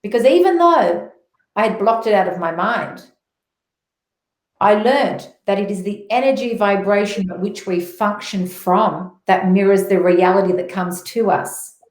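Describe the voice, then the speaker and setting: A woman's voice: mid-range voice, a little slow. A middle-aged woman with an Australian accent giving personal spiritual testimony as an interview guest, telling it earnestly and with emphasis. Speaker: interview guest, motivational speaker. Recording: roomy echo on voice.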